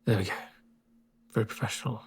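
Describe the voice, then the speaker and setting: A man playing a calm, reassuring medic with dry humour in a soft-spoken, hushed ASMR roleplay. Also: fairly low pitch, breathy edge. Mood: dry, wry. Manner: unhurried, soothing, deadpan asides. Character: calm male corporate medic, gentle, reassuring, drily sardonic. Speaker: medic caring for a patient.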